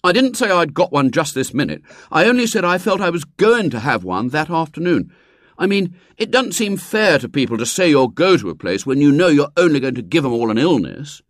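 A man's voice: on the high side, big pitch swings, a little fast, no echo, studio quality, voice pushed up. A man doing a swaggering schoolboy. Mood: blustering. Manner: blustering, boastful. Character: swaggering schoolboy, cocky but soft-hearted.

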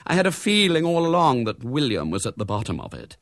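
A man's voice: medium pitch, very animated, quick pace, no room sound, studio quality, pitched above the performer's own voice. A man voicing an anxious, plaintive wife and mother in a storybook, given to moaning. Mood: fretful. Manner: groaning, plaintive.

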